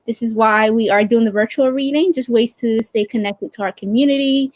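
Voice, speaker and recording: A woman's voice: a little high, fairly quick, no echo. A woman co-hosting a casual livestream, chatting in an informal, upbeat way. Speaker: campaign director, co-host. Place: remote video livestream.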